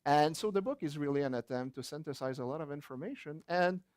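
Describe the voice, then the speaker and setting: A man's voice: fairly high pitch, fast, darkish tone, no room sound, studio quality. A man with a French Canadian accent, a native French speaker from Quebec, giving a chatty, anecdotal book-launch talk with light chuckles and fillers. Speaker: author and guest speaker.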